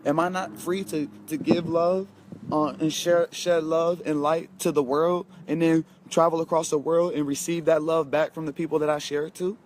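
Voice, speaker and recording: A man's voice: fairly high pitch, level tone, moderate pace, a little hiss or hum. A man in his twenties giving a casual motivational talk, emphatic, repeating himself and speaking straight to his viewers. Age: 20s. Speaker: motivational vlogger.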